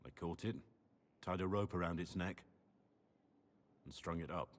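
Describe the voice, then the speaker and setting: A man playing a brooding, guilt-ridden warrior in a video game, with a slow, bitter delivery. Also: big-room sound, natural voice. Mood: somber. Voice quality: very deep, husky. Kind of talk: dramatic video game acting.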